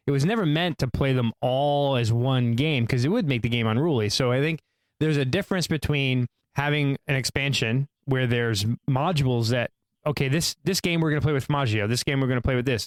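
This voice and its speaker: A man co-hosting a podcast, laying out a counterpoint with quick, detailed explanations. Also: medium pitch, no room sound. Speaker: podcast co-host. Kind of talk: casual discussion.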